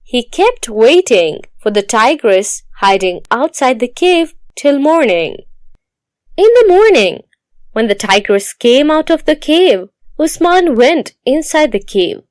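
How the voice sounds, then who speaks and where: A woman's voice: high voice, very animated, slow, a little mellow, no echo, studio quality. A woman reading scripted narration for a moral story as a storyteller.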